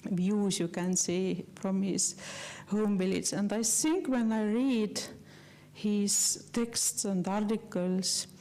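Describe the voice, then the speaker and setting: A woman giving a slide lecture in English, which is not her first language, talking earnestly and haltingly with many fillers and restarts. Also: mid-range voice, dark tone. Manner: earnest, personal.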